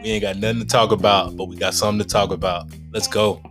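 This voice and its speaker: A man's voice: fairly low pitch, steady tone, fast talker, music in the background. A man hosting a podcast in a casual, laid-back, colloquial chat style. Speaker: podcast host.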